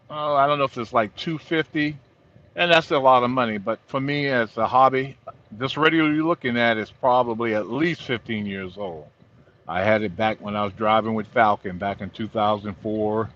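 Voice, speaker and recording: Middle-aged man, sharp forceful voice, plainspoken rambling explainer, casual trucker vlog, dull low-fidelity vehicle recording. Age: middle-aged or older. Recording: dull lo-fi sound inside vehicle.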